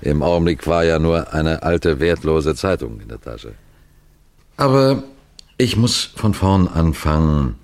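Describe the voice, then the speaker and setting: Old-school radio detective boss, really low voice, formal measured storyteller, kinda lecturing. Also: male voice, lively intonation, darkish tone. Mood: storytelling, suspenseful. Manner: deliberate, didactic, addressing listeners formally. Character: seasoned detective superintendent, courteous and measured storyteller. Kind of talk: 1960s German radio play narration.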